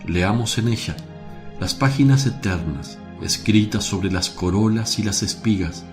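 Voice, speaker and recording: Man acting slow, solemn gentle preacher over music. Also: on the low side, natural voice. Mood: solemn, exhorting. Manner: solemn, measured, declamatory. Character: Jesus, gentle solemn male teacher preaching outdoors. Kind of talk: dramatized religious radio play, preaching.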